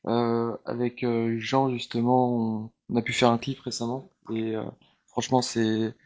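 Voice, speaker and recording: A man who writes and sings his own songs introduces himself in a flat, even voice at a middle pitch. His delivery is relaxed and unhurried. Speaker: guest, singer-songwriter. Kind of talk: casual interview chat.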